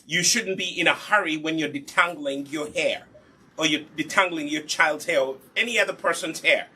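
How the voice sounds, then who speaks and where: A man leads a live hair care lesson, patient and instructive. His voice sits a little high, with a very bright, sharp tone and a husky texture. He often poses a question and then answers it himself. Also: normal speed. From Uganda.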